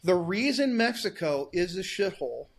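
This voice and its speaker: A man in his forties holds forth in a loose podcast conversation. His voice sits a little high, and he talks bluntly, swears freely and keeps restarting his sentences. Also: very animated, normal speed, vocal fry. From United States. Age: 40s. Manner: rambling, restarts often, blunt and profane. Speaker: podcast co-host. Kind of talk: casual political chat.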